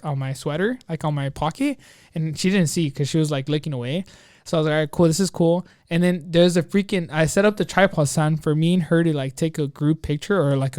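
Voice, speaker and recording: A man co-hosting a podcast, telling a personal story in an excitable, rambling, slangy way full of quoted asides. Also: on the high side, clean tone, no echo, studio quality. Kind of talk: casual chat, anecdote storytelling.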